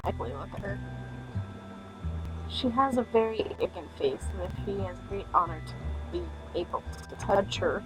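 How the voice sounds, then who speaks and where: A woman game streamer reads a visual novel aloud in a mid-pitched voice. She trips over the text and drops in casual, joking asides, with music clearly playing behind her. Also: lively intonation, normal speed, low-quality recording. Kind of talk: reading a visual novel aloud with offhand comments.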